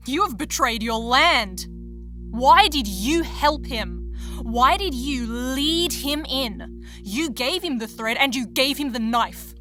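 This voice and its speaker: A woman playing a stern, accusing figure in a stage tragedy, declaiming with deliberate force. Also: high-pitched, lively intonation, pushed and bright, soft background music, full character voice. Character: stern, accusing voice in a tormented princess's mind. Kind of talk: theatrical tragedy acting.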